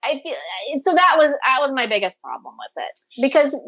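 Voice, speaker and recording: A woman's voice: sits high, wildly swooping pitch, a little fast, vocal fry, fairly bright tone. A woman co-hosting a casual, opinionated review chat, gushing and emphatic, often repeating phrases for emphasis. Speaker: co-host of a drama review show.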